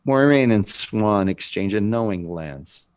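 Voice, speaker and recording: Casting: male narrator, fairly low voice, reading scripted scene directions for a drama table read. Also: expressive, unhurried, darkish tone, clean tone.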